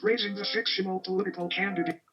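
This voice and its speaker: A woman's voice: medium pitch, level tone, quick pace, muffled recording, close to an everyday voice. A woman delivering scripted video narration.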